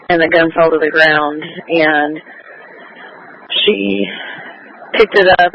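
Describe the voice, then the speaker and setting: A man, a police officer, giving a halting, matter-of-fact incident report over police radio. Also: fairly high pitch. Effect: police radio transmission. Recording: muffled, noisy police scanner radio.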